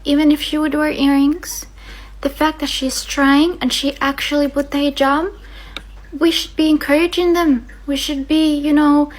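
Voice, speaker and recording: A woman's voice: sits high, low-quality recording. A young woman from Finland, speaking earnestly and with passion to her viewers, with frequent fillers. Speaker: vlogger. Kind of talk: earnest talk to camera.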